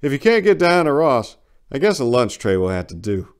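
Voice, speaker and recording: A man's voice: mid-range voice, sing-song, moderate pace, smooth, pitched above the performer's own voice, lightly acted. A man voicing a big middle-aged Vietnam veteran in a dramatic story reading, plain-spoken, confessional and sardonic, haunted but dryly wry. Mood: wry, teasing. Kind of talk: first-person dramatic short-story reading.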